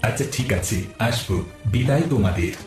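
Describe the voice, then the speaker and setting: A man voicing a gentle, grateful magical whale in a cartoon, speaking calm reassurances. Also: mid-range voice, fairly quick, close to an everyday voice. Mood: gentle, reassuring. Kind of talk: cartoon voice acting. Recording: echoing, distant, music behind.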